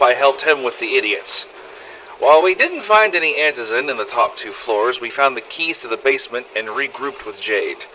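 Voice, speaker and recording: A man playing a weary, sardonic, foul-mouthed survivor of a zombie apocalypse, recording expressive first-person audio logs in a game-style drama. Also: mid-range voice, slight background noise, lightly acted, rough recording. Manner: sardonic, expressive, emphatic swearing.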